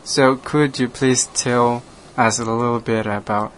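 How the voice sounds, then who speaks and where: A man from Laos, a native Lao speaker, talks slowly in an even, flat tone. He restarts his sentences often and laughs now and then. A faint hiss sits under the recording. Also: mid-range voice, low-quality recording. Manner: halting, hesitant, frequent restarts, laughs often. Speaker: radio program host, monk. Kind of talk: casual conversation in English.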